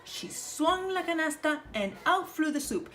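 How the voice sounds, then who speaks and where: High, animated woman storyteller. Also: unhurried, faint music behind, poor audio. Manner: animated, theatrical. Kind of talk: expressive children's picture-book read-aloud.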